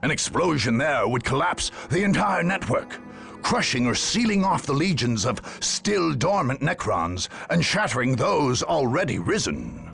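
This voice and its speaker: A man acting as a grave chronicler of a far-future war, narrating a video game in a solemn, measured, theatrical way. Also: a little high, sing-song, creaky, fairly bright tone, faint music behind, exaggerated voice. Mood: solemn, foreboding.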